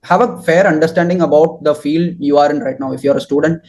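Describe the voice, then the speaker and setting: A young man answering interview questions and giving advice in halting, deliberate phrases. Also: on the high side, a little mellow, rough recording. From southern India.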